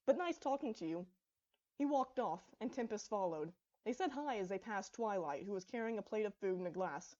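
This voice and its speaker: A woman's voice: medium pitch, expressive, a little fast, hardly any pauses, darkish tone, highs cut off. A woman reading her own fan fiction aloud as scripted story narration.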